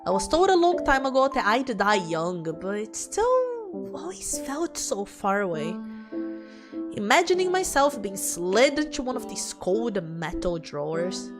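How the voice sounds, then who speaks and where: A woman's voice: fairly high pitch, sing-song, a little slow. A woman voicing an anxious, self-doubting young dead woman, reading visual novel narration emotively and introspectively. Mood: bitter, sad. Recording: music behind the voice.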